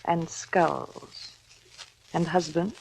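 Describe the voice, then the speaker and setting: Cold, scheming wife. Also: female voice, fairly low pitch, steady tone, very slow, gravelly, a little mellow, slight background noise, full character voice, low-quality recording. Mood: cold, conspiratorial. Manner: insistent, coolly needling. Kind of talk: 1950s radio drama acting.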